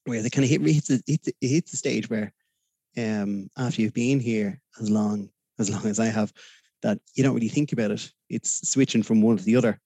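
Gravelly, mellow Dublin Irish male guest, rambling and hesitant, dry close sound. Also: on the low side, fairly quick, dry recording. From Ireland. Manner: rambling, hesitant, many fillers, laughs easily. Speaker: podcast guest. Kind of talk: casual interview chat.

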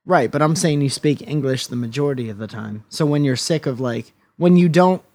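A man's voice: on the high side, a little fast, darkish tone. A man co-hosting a podcast, chatting casually in a playful, rambling way and swearing now and then. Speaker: podcast co-host. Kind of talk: casual banter.

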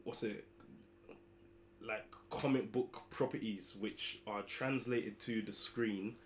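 A man, co-hosting a podcast in relaxed, slang-heavy banter. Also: lowish voice, slow pace, a little hiss or hum. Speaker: podcast co-host. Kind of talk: casual chat.

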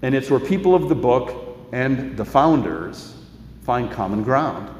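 A man giving a sermon as pastor, preaching in an earnest, measured way and reading scripture aloud. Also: mid-range voice, expressive, slight background noise.

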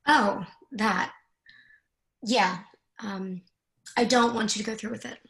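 A woman's voice: mid-range voice, slow pace, a bit bright, poor audio. A woman playing a confident, jaded senator's wife in a screenplay reading, teasing, assertive and freely swearing. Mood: frank. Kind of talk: dramatic screenplay table read.

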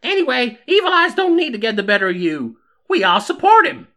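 Here is a manic frontier undertaker woman, played by a man, shrieking high and bright in a folksy Wild West drawl. She swoops about with nutty, obsessive glee, as in a comic Western tale. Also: very animated, fairly quick, exaggerated voice. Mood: manic, gleeful. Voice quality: shrill falsetto. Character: manic, cackling female undertaker obsessed with measuring.

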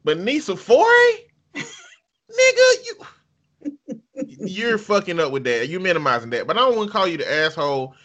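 A man's voice: high voice, wildly swooping pitch, moderate pace, long pauses, a bit bright. A man co-hosting a talk show, giving blunt, profane opinions. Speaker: podcast co-host. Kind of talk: casual opinionated chat.

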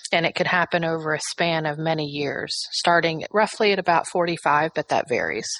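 Woman, radio interview host, slightly low pitch, dry close sound. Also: expressive, fairly quick, a bit bright, dry recording, low-quality recording. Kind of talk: hosted health interview.

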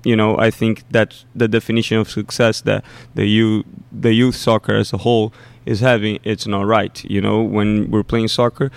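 Man, low gravelly voice, halting, casual podcast talk. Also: no room sound. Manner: halting, repeats himself while thinking aloud. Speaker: youth soccer coach.

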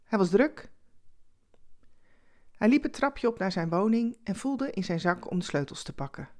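Expressive female storyteller, mid-pitched. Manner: conversational, dramatic asides and pauses. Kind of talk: expressive scripted story narration.

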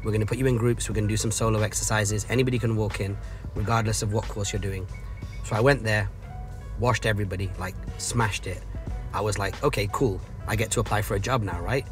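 A man's voice: on the low side, fairly even intonation, fast talker, music in the background. A man in casual conversation, telling stories in emphatic, slangy language and acting out quoted exchanges.

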